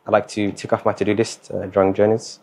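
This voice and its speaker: A young man, talking as a guest in a casual interview, relaxed and hesitant, with many fillers and Arabic words mixed in. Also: on the low side, quick pace, gravelly. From Somali, born in the Netherlands, raised in England. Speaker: guest, university student.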